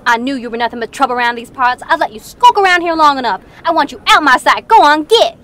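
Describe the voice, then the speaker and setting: A woman's voice: high-pitched, animated, fairly bright tone. A woman playing a plain-spoken, folksy Wild West townsperson in an audio drama, with some background noise. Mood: angry. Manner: folksy, drawn-out idioms. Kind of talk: western audio drama acting.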